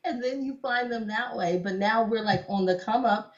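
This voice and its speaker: Chatty young woman, dull lo-fi sound. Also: on the high side. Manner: chatty storyteller, laughs often, many "like"s. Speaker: cosplayer guest. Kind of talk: casual interview chat.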